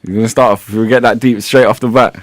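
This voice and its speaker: A man's voice: lowish voice, fairly even intonation, fast, dry recording. A man hosting a radio interview in a studio, easygoing and teasing, laughing often. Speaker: radio host. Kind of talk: casual interview.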